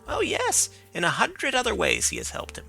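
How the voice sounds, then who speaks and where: Man doing a blunt stubborn police inspector, gravelly, bright, dismissive. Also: extremely high pitch, wildly swooping pitch, moderate pace, soft background music, voice pushed up, full character voice. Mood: insistent.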